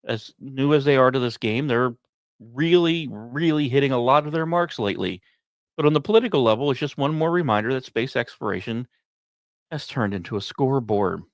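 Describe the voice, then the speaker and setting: A man's voice: medium pitch, animated, brisk, dry recording. A man hosting a podcast, reading scripted science commentary with emphatic, dramatic phrasing and informal asides. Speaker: podcast host.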